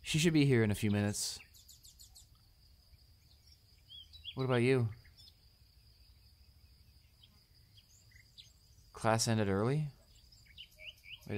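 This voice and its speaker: A man's voice: fairly low pitch, moderate pace, long pauses, a little mellow, a little hiss or hum, lightly acted. A man playing a shy, stammering male student. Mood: shy, casual. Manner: stammering, hesitant, apologetic. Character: shy, flustered, kind-hearted male student classmate. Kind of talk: romantic audio roleplay acting.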